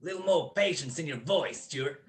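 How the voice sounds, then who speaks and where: A man's voice: on the high side, normal speed, natural voice. A man acting a smug, cold-blooded criminal mastermind in a radio play, with precise, mocking, grandiloquent speech. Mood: commanding, pleased.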